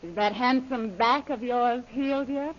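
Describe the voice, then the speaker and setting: A woman's voice: a little high, unhurried, a little hiss or hum, close to an everyday voice. An actress playing a dangerous, commanding female villain with a mocking manner, in 1930s radio serial style. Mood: cool, taunting. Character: imperious, dangerous female villain, coolly taunting. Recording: dull lo-fi old radio recording.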